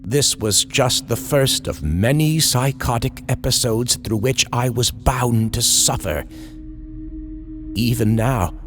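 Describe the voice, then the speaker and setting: A man reading a scripted horror story in a theatrical, deliberate old-time radio storytelling style. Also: mid-range voice. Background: music.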